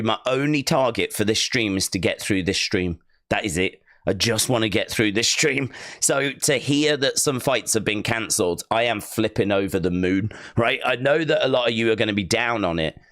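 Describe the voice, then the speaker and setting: Male streamer, low and worn-out voice, rambling chat, dry close mic. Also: fairly bright tone, dry recording. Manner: rambling, repeats himself, talks himself through. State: tired, weak voice. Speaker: live streamer hosting a fight watch-along. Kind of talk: casual live-stream chat. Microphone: streaming microphone.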